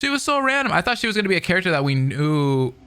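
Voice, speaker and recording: Excitable young man reacting to a game. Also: high voice, racing, a little hiss or hum. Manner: excitable, loud outbursts, cut-off swearing. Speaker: gaming commentator. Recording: voice over loud game music. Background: game music.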